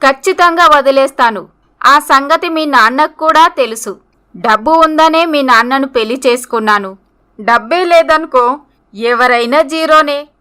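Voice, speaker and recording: A woman's voice: high voice, measured pace, bright, forceful tone. A woman voicing a greedy, scornful middle-aged mother in a story, with a haughty, scolding manner. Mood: cold, greedy.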